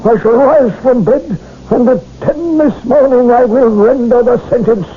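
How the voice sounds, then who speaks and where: An actor playing a harsh, haunted old English judge in a theatrical, declamatory radio drama style. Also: male voice, very high voice, a little slow, heavy background noise. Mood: contemptuous. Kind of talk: 1970s radio drama acting. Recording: muffled old radio broadcast, dramatic music behind.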